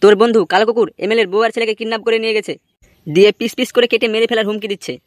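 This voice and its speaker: Man as a rapid, scornful cartoon henchman. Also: high voice, fairly even intonation, no echo, clean studio sound, close to an everyday voice. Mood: taunting. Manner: taunting, brusque. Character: scornful, brusque male henchman to a boss. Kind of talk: amateur comedy cartoon dubbing.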